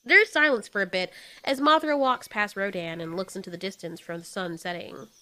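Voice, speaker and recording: A woman's voice: medium pitch, fairly quick, bright, forceful tone. A woman narrating a scripted story as its storyteller, in the present tense.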